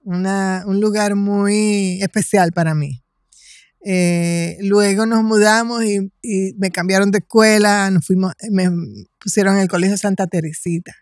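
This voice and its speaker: Female podcast guest, 60s, Dominican accent, conversational storyteller with halting delivery, recorded dry. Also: mid-range voice, steady tone, a little mellow, dry recording. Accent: Dominican Spanish. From Dominican Republic. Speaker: podcast guest, writer and cultural promoter.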